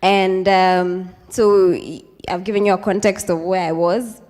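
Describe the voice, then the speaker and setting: A woman's voice: fairly low pitch, fairly even intonation, unhurried, a little mellow. A young Kenyan woman in her twenties, speaking to a live audience in a chatty, casual way, mixing in Swahili phrases and plenty of ums. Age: 20s. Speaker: speaker at a youth event. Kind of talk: informal talk with slides to a live audience. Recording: hall with long reverb.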